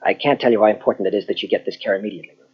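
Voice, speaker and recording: An actor playing a grave, earnest psychologist in an old-style radio mystery, husky and deliberate, with dramatic pauses. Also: male voice, fairly low pitch. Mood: grave, urgent. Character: earnest, grave male psychologist confronting a killer. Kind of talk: 1940s radio mystery acting. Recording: dull lo-fi old radio tape.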